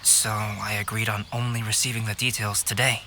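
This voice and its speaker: An actor playing a calm, composed young painter in a video game, speaking in a measured, articulate way. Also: male voice, on the low side, noisy background, close to an everyday voice. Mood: calm, explanatory. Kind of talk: English game voice acting.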